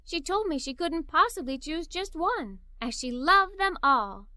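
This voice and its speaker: A woman reading a children's story in an expressive, scripted narrating style. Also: extremely high pitch, a little slow, no echo, studio quality. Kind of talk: scripted read-aloud story narration for kids.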